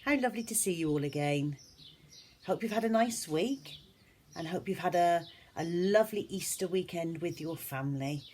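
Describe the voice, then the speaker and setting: A woman's voice: fairly low pitch, moderate pace, darkish tone, a little hiss or hum. A woman reading aloud as a teacher at children's storytime, speaking expressively to young listeners. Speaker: schoolteacher reading stories to a class.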